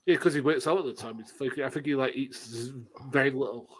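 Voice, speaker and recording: A man in his late thirties, co-hosting a casual chat, halting and restarting his sentences. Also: mid-range voice, a little hiss or hum. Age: 30s. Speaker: podcast co-host.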